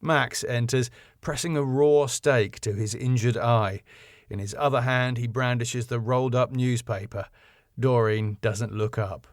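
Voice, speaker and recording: A man's voice: mid-range voice, fairly even intonation, a little slow, dry recording, clean studio sound. A man reading his own sitcom script as narrator, with scene headings and stage directions.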